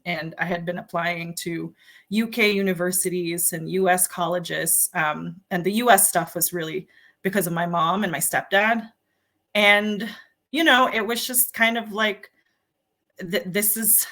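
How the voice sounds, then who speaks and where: A woman's voice: lowish voice, bright, forceful tone. A woman in her thirties, born in the Philippines, chatting as an interview guest with many ums and you-knows. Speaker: journalist and guest. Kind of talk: casual interview talk.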